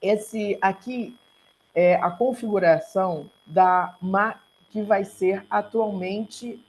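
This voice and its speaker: A woman with a Brazilian Portuguese accent gives a slide presentation on an online call, speaking haltingly and correcting herself as she goes. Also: mid-range voice, a little mellow. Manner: halting, self-correcting delivery. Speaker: research centre coordinator giving a talk. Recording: noisy online call with hum.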